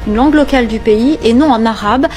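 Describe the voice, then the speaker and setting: A woman's voice: on the high side, fairly quick, music in the background. A woman narrating a scripted news report.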